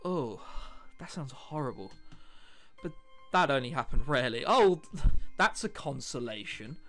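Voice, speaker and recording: A man's voice: mid-range voice, very animated, measured pace, fairly bright tone, soft background music. A British man hosting a let's play, reading a visual novel aloud and adding chatty, jokey commentary. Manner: jokey, chatty asides between read passages.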